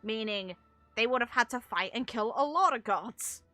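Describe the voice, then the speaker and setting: Girl streamer chatting thru a game, bright forward voice, excitable, thinking out loud, faint game music behind her. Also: a little high, normal speed, dry recording. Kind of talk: casual gameplay commentary.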